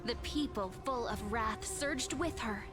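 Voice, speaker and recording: An actress playing a solemn storyteller of an epic war legend in a video game, dramatic, stately and declamatory. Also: female voice, fairly high pitch, measured pace, lightly acted. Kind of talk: scripted game narration, voice acting. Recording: loud game music behind the voice.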